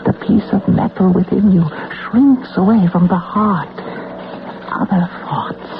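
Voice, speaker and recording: A woman playing a solemn, mystical old being who alters fate, speaking in a portentous, incantatory way in a vintage radio drama. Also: mid-range voice. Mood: ominous, teaching. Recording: muffled noisy old radio broadcast.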